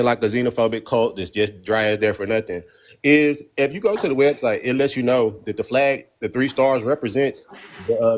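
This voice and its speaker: A man's voice: medium pitch, slight background noise. A man hosting a casual debate on a phone livestream, talking bluntly in profane street slang.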